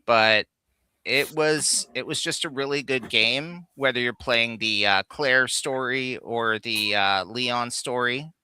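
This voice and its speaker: A man co-hosting a casual gaming livestream, explaining his views in a measured way. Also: mid-range voice, sharp tone, smooth, no room sound. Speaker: co-host of a live gaming countdown show. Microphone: streaming call microphone.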